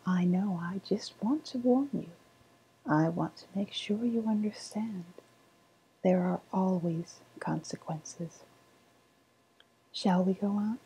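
Female performer as woodland witch for ASMR roleplay: very breathy, very slow, eerily sweet and foreboding. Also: mid-range voice, full character voice, poor audio. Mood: ominous warning. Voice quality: breathy, half-whispered. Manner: slow, deliberate, hushed, ominous. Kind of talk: softly spoken ASMR roleplay.

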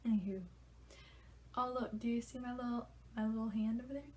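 A woman's voice: on the high side, fairly even intonation, brisk, a little hiss or hum. A young woman in her twenties, congested, chatting casually and loosely to camera in a small bedroom, swearing freely. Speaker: vlogger.

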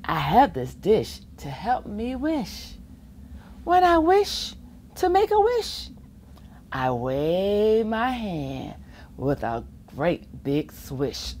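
A middle-aged woman reading a children's picture book aloud in an expressive sing-song way, with playful asides. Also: low voice. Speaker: volunteer children's storybook reader. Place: school or public library children's corner, indoors. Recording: faint room ambience noise.

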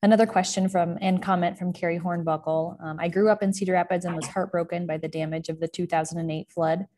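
A woman moderating an online talk, reading audience questions aloud in a steady manner over a video call. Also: fairly low pitch, quick pace, slight background noise. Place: indoors, office room.

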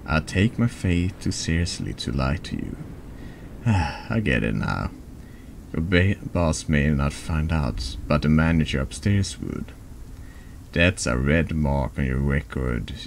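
A man reading a horror text game aloud in a soft ASMR narration style, halting and stumbling over longer words. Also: very deep voice, creaky, background noise.

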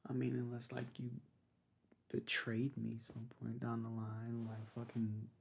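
A young man speaks in a soft, intimate ASMR roleplay style, as if in pillow talk. His voice sits at a medium pitch with plenty of vocal fry and a husky, breathy edge. He talks casually and haltingly, easygoing and unhurried in manner. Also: completely flat delivery. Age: young adult. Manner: hesitant, halting, laid-back pillow talk. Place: small bedroom, lying on a bed.

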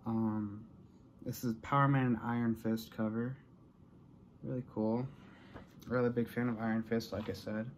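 A young American man talks casually to the camera in a medium-pitched voice. He rambles a little and lets thoughts trail off, like an unscripted haul video. It was recorded in a small room at arm's length, with clear noise behind him. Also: flat delivery, moderate pace, long pauses. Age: young adult. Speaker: vlogger showing a collection haul. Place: small bedroom, indoors.

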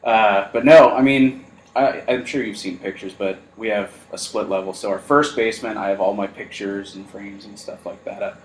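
A man's voice: on the low side, steady tone, moderate pace. A man hosting a podcast in casual chat, joking and self-deprecating. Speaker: podcast host. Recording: roomy echo.

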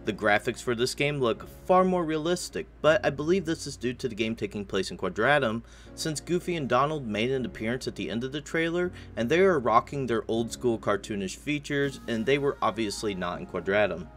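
An American man with a medium-pitched, slightly raspy voice presents a scripted gaming news recap. He addresses viewers casually, like a channel host. Faint music plays behind him. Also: expressive, a little fast, fairly bright tone.

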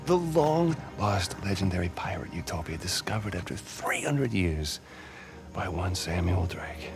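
An American actor playing a stubborn, sardonic middle-aged treasure hunter and older brother in a video game, with a gravelly voice. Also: male voice, low-pitched, big pitch swings, measured pace, over music, heavily acted. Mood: wry, pleased. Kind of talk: naturalistic cinematic game acting.

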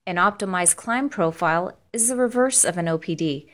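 A woman with an American accent reading scripted instructional narration in a measured, formal, explanatory way. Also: on the low side, fairly bright tone.

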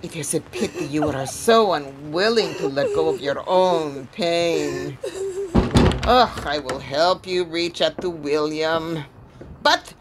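Slow, low-voiced old spirit medium. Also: female voice, heavy background noise. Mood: stern, weary. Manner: solemn, dramatic, deliberate pronouncements. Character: weary, wary old female spirit medium, grave and foreboding. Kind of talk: theatrical audio drama acting.

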